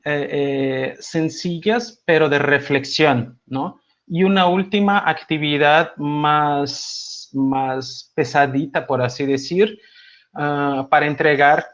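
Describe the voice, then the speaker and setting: A middle-aged man, non-native in Spanish, with a Mexico City accent tinged by Buenos Aires Spanish, teaching a seminar in a relaxed, conversational way full of hesitations and tag questions, over a video call. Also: mid-range voice. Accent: Mexico City Spanish with some Buenos Aires Argentine. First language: not Spanish. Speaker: seminar instructor. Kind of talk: live online lecture. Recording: slightly dull lo-fi video call audio.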